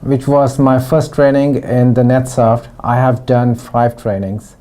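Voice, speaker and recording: A middle-aged man from Pakistan giving a testimonial interview about his training, talking in a halting way with frequent restarts. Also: mid-range voice, level tone, mellow. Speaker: former student giving a testimonial.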